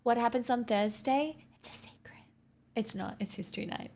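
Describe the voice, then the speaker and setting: A woman's voice: fairly high pitch, normal speed, darkish tone. A woman live streamer chatting casually and candidly with viewers, answering their messages with frequent restarts and the odd swear.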